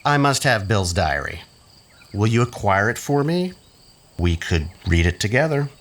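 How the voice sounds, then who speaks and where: An actor playing a sly, mocking schemer in an audio drama, with theatrical, insinuating delivery. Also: male voice, on the low side, very animated, measured pace. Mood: scheming.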